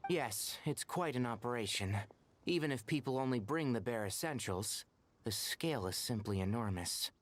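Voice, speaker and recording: An actor playing a composed young forest watcher and mentor in an anime-style video game, articulate and matter-of-fact. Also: male voice, medium pitch, animated, normal speed, close to an everyday voice. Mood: serious, explanatory.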